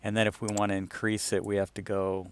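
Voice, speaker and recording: A man with a fairly low voice walks through something on screen in an informal way. He explains it like a client briefing someone on a project, thinking aloud as he demonstrates. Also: completely flat delivery, quick pace, smooth. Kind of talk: casual screen-share walkthrough.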